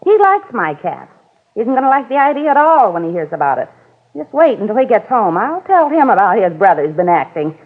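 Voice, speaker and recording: A woman's voice: medium pitch, big pitch swings, fairly quick. An actress playing a chatty older female neighbor who rambles on about her cat, in 1950s radio drama style. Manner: rambling, gossipy. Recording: tinny old radio broadcast.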